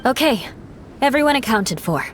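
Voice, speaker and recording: A woman playing a composed, noble and serious female knight, speaking in a measured, formal way in an anime-style video game. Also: on the high side, very animated, a little hiss or hum. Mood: commanding, calm. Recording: faint music behind the voice.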